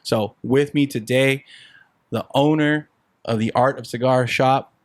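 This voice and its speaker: A young man hosts a golf podcast from inside a cigar shop, easygoing and friendly, dropping Spanish words into his talk. His voice sits at a middle pitch and sounds close and dry, with little room sound. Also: fairly even intonation, a little slow, no room sound. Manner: laid-back, friendly, mixes in Spanish words. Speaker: podcast host. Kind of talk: casual interview chat.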